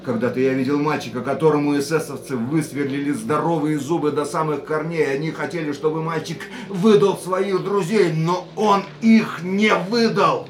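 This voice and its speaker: An actor playing an anguished racing driver in a radio drama, muttering broken, brooding thoughts and curses to himself. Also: male voice, a little high, fairly even intonation, normal speed, few pauses, slight background noise, lightly acted. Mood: grim recollection. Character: anguished male racing driver thinking aloud mid-race. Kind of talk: radio drama inner monologue. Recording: echoey, distant, under loud music and engines.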